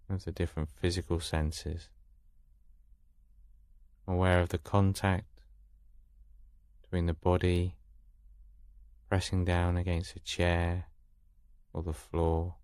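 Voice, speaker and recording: A man guiding a meditation in a calm, soothing manner with long pauses, recorded close in a dry space. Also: low voice, smooth, no room sound. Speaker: meditation guide. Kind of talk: guided meditation.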